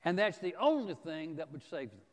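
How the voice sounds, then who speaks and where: High-voiced preacher in a reverberant hall. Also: male voice, very animated. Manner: emphatic, folksy, deliberate phrasing with pauses. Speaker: preacher teaching a church Bible class. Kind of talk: expository Bible teaching, reading and paraphrasing scripture. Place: large empty church auditorium, indoors. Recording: reverberant church hall, long echo.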